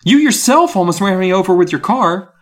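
A man's voice: mid-range voice, very animated, measured pace, a bit bright, breathy edge, heavily acted. A man voicing a frantic, indignant boy who is trapped in a bee's body in a storybook. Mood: shrill, exasperated.

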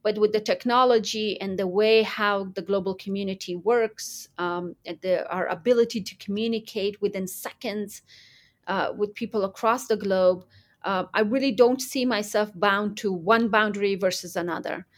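Earnest woman guest, halting and reflective. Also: medium pitch, fairly even intonation. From Afghanistan. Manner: earnest, many pauses and restarts. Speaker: interview guest. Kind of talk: reflective interview answer.

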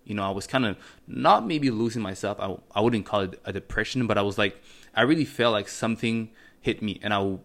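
Young french canadian guy hosting his podcast, lowish voice, earnest and pep-talky, lots of ums. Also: brisk, gravelly, fairly bright tone. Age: 20s. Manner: earnest, motivational, many fillers and restarts. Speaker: podcast host. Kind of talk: casual solo podcast monologue.

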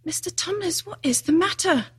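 A woman's voice: very high-pitched, moderate pace, a bit bright, voice pushed up. A woman voicing an earnest, anxious young girl from a storybook, kind but insistent, with pleading exclamations. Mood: worried. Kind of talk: storybook character reading.

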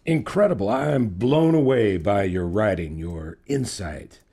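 An actor playing a middle-aged psychology professor in an audio drama, measured and patronizing, flattering with deliberate pauses. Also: male voice, lowish voice, husky. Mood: admiring, flattering. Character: smooth, flattering, condescending manipulative male psychology professor. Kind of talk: fiction podcast audio drama acting.

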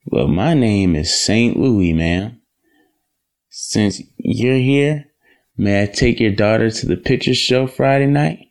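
A man's voice: fairly low pitch, takes it slowly. A man playing a polite, playful young man from the in an audio drama. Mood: polite, respectful. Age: young adult.

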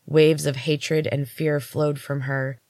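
A woman's voice: low voice, level tone, slow pace, a little mellow, dry recording. A woman hosting a podcast and reading her own fiction aloud as a narrator. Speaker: podcast host and author reading her novel. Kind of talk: audiobook-style story narration.

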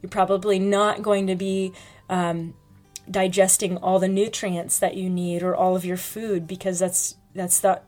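A woman's voice: medium pitch, flat delivery, unhurried, darkish tone, soft background music. Chatty woman hosting a health podcast. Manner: chatty, frank, many fillers. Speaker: podcast host. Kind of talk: casual health podcast talk.

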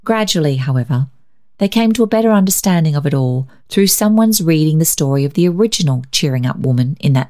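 A woman reading a story aloud as scripted narration, in an expressive, measured, dramatic way. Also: low-pitched. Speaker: story reader.

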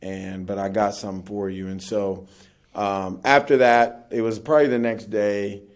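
A man's voice: fairly low pitch, steady tone, normal speed. A man who is a pastor, a podcast guest talking casually and earnestly about his experiences. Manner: earnest, reflective, speaks of faith. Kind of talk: casual conversational interview.

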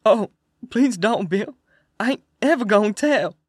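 A man's voice: high voice, moderate pace, dry recording, clean studio sound, voice pushed up. A man voicing a frightened, tied-up male robber who pleads and blubbers for his life. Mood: begging.

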